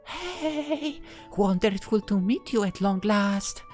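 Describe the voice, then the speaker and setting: Woman putting on a higher, teasing young lady. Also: expressive, takes it slowly, darkish tone, soft background music. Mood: sweet, teasing. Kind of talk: game character voicing.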